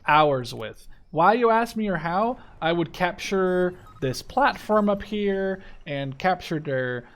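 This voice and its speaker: A man's voice: high-pitched, expressive, slow, a bit bright. A man in his twenties giving casual, rambling, enthusiastic gameplay commentary with a lot of swearing. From Canada-based Dutch. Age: 20s. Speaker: gaming video host. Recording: clear background game noise. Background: war strategy game sounds.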